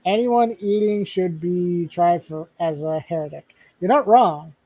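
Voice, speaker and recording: High-voiced joking male streamer. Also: unhurried, dark tone, no echo, rough recording. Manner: joking, laughs often, stumbles and restarts. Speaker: streamer host. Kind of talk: casual livestream chat.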